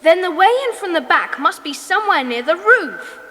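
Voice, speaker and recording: An actress playing a decisive young boy who leads his gang in a children's audio drama, brisk and commanding. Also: very high-pitched, expressive, a bit bright. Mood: excited, commanding. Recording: long hall-like reverb.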